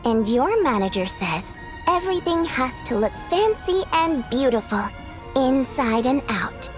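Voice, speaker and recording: A woman's voice: high-pitched, sing-song, measured pace. A woman playing a capable, matter-of-fact young station manager in a video game, composed and assured. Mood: breezy, assured. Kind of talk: animated video game voice acting. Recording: faint music behind the voice.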